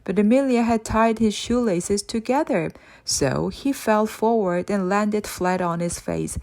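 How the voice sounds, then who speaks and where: A woman narrates a children's story with expressive delivery. Her voice has a medium pitch, and she reads in a scripted audiobook style. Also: unhurried.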